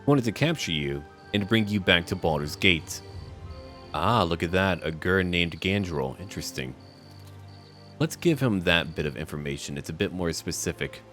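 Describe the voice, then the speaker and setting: A man with a low voice commenting casually on a role-playing game. His delivery is laid-back and musing but varies a lot in pitch, with faint music behind him. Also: expressive, moderate pace, fairly bright tone. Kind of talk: casual game commentary, speaking dialogue choices aloud.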